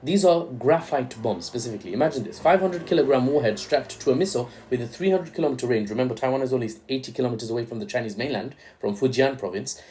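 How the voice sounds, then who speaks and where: A man's voice: medium pitch, lively intonation, fast, hardly any pauses, mellow, a little hiss or hum, rough recording. Joking young man commenting on news. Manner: joking, irreverent asides.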